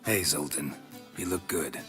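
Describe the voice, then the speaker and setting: Very deep, gruff monster hunter. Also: male voice, a little slow, over music. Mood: dry, wry. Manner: laconic, deadpan. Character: gruff stoic monster hunter, deadpan, dryly wry. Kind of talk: video game voice acting.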